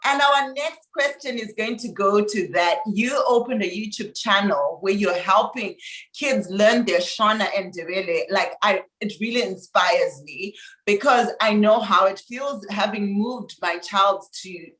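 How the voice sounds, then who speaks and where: Zimbabwean woman host, effusive and eager, mid pitch, roomy echo. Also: measured pace, fairly bright tone. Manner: enthusiastic, effusive. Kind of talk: casual interview chat. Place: Australia. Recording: echoey room.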